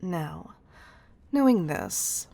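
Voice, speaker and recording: A woman playing a stern, commanding chief executive in a scripted audio roleplay, exasperated and deliberate in her emphasis. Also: fairly low pitch, very animated, creaky, warm tone, exaggerated voice. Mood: firm, understanding. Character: stern, commanding female CEO scolding an employee.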